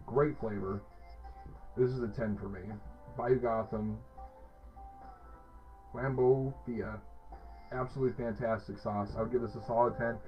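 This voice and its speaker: A man of middle pitch gives an upbeat, rambling hot sauce review, piling up fillers and restarts. The sound is dull and lo-fi, with faint music behind him. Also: steady tone, measured pace, pauses often. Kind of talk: casual product review.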